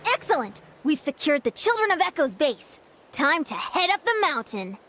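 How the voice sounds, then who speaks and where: A woman's voice: sits high, normal speed, slight background noise, full character voice. A woman voicing a spirited, confident young warrior who rallies her team in a video game. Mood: encouraging. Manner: energetic, rallying.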